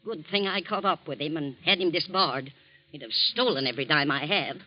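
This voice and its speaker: An actress playing a bossy, domineering wealthy wife in 1940s radio acting, sharp and contemptuous. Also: female voice, low-pitched, a little fast, no room sound. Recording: dull old radio broadcast recording.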